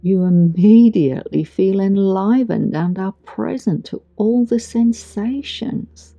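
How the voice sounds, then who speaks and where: A woman guiding a meditation in a soothing, gentle, deliberate way with long pauses, recorded with a muffled sound. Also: mid-range voice, dry recording. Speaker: meditation guide. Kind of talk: guided meditation narration. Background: background music.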